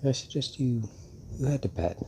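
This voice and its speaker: An actor playing a centuries-old, fatherly male vampire in an intimate audio roleplay, speaking haltingly and musingly with hums and long pauses. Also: medium pitch, lively intonation, a little mellow, slight background noise, lightly acted. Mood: calm, caring.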